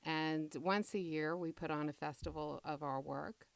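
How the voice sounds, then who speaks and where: A middle-aged woman being interviewed as a playwright, talking reflectively with measured, deliberate phrasing. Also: deep voice, fairly even intonation, gravelly, no room sound.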